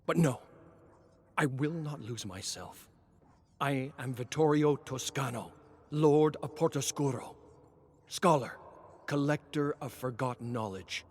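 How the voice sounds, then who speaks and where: A man's voice: mid-range voice, a little slow, pauses often, a little mellow, breathy edge. Man playing Vittorio, a proud, intense scholar lord. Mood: proud, defiant. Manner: declamatory, intense.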